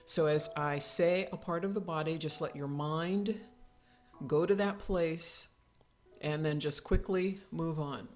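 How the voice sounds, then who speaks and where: A woman leading a guided yoga nidra relaxation as the instructor, speaking in calm, soothing, unhurried instructions with pauses. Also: low-pitched, soft background music.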